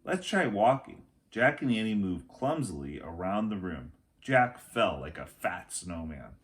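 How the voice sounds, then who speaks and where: A middle-aged man reading a children's chapter book aloud as a teacher would, with expressive narration. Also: sits low. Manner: slow, expressive narration with acted dialogue. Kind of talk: children's story read-aloud. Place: small room at home, indoors.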